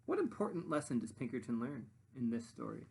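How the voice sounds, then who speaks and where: A woman reading a storybook expressively to children, with dramatic pauses and asides to her young listeners. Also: very deep voice, very animated, vocal fry, warm tone, a little hiss or hum. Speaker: storybook reader.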